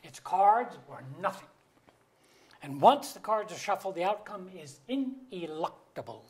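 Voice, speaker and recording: A man's voice: high-pitched, sing-song, a little slow, a little mellow. A man acting a droll, fatalistic middle-aged father in a staged comedy reading, with a wordy, mock-solemn manner. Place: auditorium stage.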